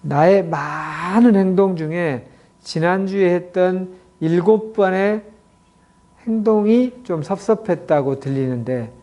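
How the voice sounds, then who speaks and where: Man lecturing, mellow and a bit high. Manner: explains slowly with many acted example quotes. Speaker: clinic director teaching communication. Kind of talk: lecture to an audience.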